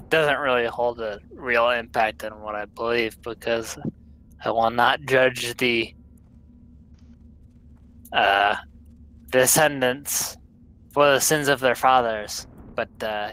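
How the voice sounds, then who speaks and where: Drunk, slow-talking Southern American man. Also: medium pitch, fairly even intonation, pushed and bright, clear, resonant tone, poor audio. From Southern United States. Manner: rambling, blunt and opinionated, swears a lot. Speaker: streamer. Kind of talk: casual chat while gaming.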